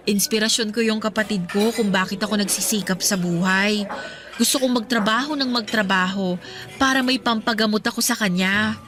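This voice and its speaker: A woman's voice: on the high side, a bit bright, lightly acted. An actress playing a young working woman who hesitantly and apologetically explains why she is not ready for romance. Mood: earnest. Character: young working woman caring for her family. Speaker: radio drama actress. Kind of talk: radio drama acting.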